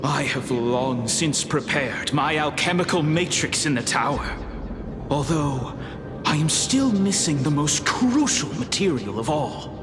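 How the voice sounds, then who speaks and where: Game role: solemn weary scholar, fairly high male voice, unhurried and portentous, music underneath. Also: animated. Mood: grave, resolute. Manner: formal, portentous, measured. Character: weary, grave scholar entrusting a final great task. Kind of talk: dramatic video game acting.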